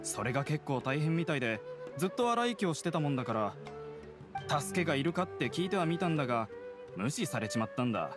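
A man's voice: fairly high pitch, big pitch swings, fast. A man playing a friendly, helpful young townsman in a fantasy anime game, casual and concerned. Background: background music.

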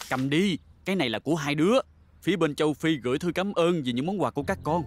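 A man's voice: fairly high pitch, fast talker, a little hiss or hum, lightly acted. A man voicing a friendly, appreciative grown-up in a cartoon dub. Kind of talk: cartoon dubbing.

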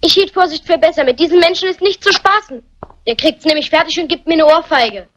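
A woman's voice: extremely high pitch, a little fast, a bit bright. A woman playing a precocious, cheeky young boy who talks in pompous, grown-up phrases in crime drama dialogue. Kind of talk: German crime film dubbing, theatrical dialogue. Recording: faint noise, clicks, vintage soundtrack.

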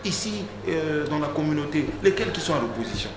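A middle-aged man with a Congolese French accent speaks as a political interview guest. He is emphatic, often repeating words as he searches for the next. The indoor recording has noticeable echo and clear background noise. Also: on the high side, animated, a little fast. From Republic of the Congo. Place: indoor sitting room, seated on a sofa.

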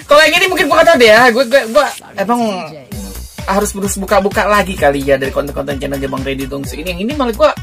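A man's voice: sits high, lively intonation, rapid-fire, gravelly, pushed and bright, faint music behind. A middle-aged man, chatting casually and laughing as he hosts a reaction video. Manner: chatty, laughs often, slangy. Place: indoor room in front of a green wall.

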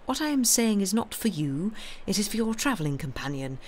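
A woman's voice: mid-range voice, very animated, brisk, darkish tone, slight background noise, voice pushed up, lightly acted. A woman reading aloud, voicing a grave, formal male innkeeper who is easily offended. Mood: wounded. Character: fussy earnest Italian male innkeeper.

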